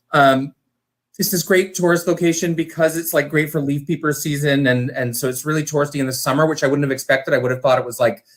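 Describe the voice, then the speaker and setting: A man's voice: a little high, fairly bright tone. A man talking informally as an interview guest, enthusiastic and rambling as he explains his work. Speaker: interview guest, community theater director. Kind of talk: casual conversational interview.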